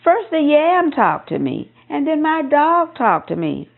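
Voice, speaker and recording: A woman voicing a bewildered, frightened male farmer in a folktale, excitable and emphatic in repetition. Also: high-pitched, a little slow, creaky, narrow, tinny audio, voice pushed up, heavily acted. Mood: breathless alarm.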